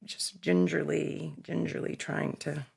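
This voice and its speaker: Lady chatting on a commentary, super gravelly fry, husky, kinda halting. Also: deep voice, flat delivery, darkish tone. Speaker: actress on a cast commentary. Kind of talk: casual commentary chat.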